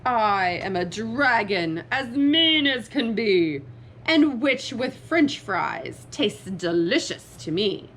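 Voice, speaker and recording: A mean, hungry storybook dragon, acted out by a woman reader. He draws his words out slowly and theatrically, with big swings in pitch. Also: sing-song, a bit bright, a little hiss or hum, exaggerated voice. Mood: menacing. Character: mean, menacing male dragon, huge and hungry.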